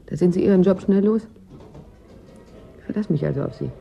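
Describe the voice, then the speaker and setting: A woman's voice: lowish voice, a little fast, gravelly, dark tone, muffled recording, soft background music. A woman playing a shrewd, self-assured professional on a covert assignment in a German radio drama, cool and businesslike. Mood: firm.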